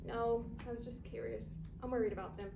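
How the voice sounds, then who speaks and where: A woman's voice: fairly high pitch, fairly even intonation, a little fast, creaky. Echoey student as an upset female coworker. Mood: upset, pleading. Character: upset, caring, reproachful female office coworker. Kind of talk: staged reader's theatre performance. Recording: distant echoey noisy live stage recording.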